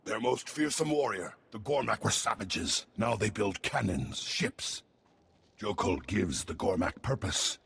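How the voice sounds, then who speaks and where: Gravelly, stern alien commando leader. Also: male voice, fairly low pitch, animated, measured pace, fairly bright tone, heavily acted. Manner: clipped, solemn short declarations. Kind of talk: video game voice acting.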